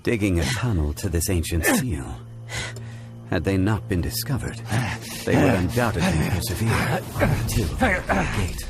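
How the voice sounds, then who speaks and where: A man voicing a composed, learned gentleman in an anime-style video game, formal, measured and authoritative. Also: sits low, very animated, over music, full character voice. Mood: grave, commanding. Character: composed, dignified, learned gentleman, commanding and grave.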